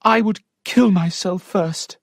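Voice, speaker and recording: A man's voice: sits high, takes it slowly, no room sound, studio quality, pitched above the performer's own voice, heavily acted. A man doing an earnest young heroine. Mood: determined.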